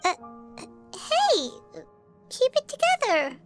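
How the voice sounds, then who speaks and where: A woman voicing a small childlike floating companion, cheeky and blunt, in a squeaky video game voice. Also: huge pitch swings, slow pace, exaggerated voice. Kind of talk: video game character acting. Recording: playful music behind the voice.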